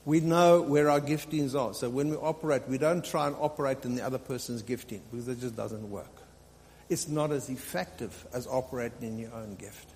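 Guy preaching a sermon in a big echoey hall, mid pitch, bit of hum, repeats himself to drive it home. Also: brisk, a little mellow. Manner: exhorting, repeats phrases for emphasis. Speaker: pastor preaching to a congregation. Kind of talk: sermon, extemporaneous teaching. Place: large reverberant room, live preaching. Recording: hall reverb, faint hum and noise.

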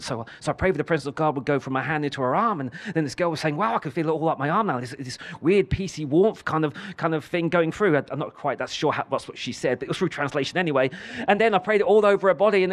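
A man preaching a sermon, telling a story with many restarts and then building to emphatic declarations. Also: fairly high pitch.

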